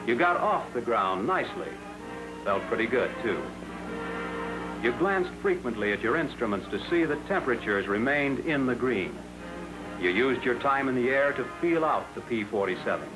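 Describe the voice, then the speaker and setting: A man's voice: mid-range voice, poor audio. 1940s training film narrator, man, over music. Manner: measured, addressing the trainee pilot directly. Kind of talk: scripted 1940s instructional narration. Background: film score music.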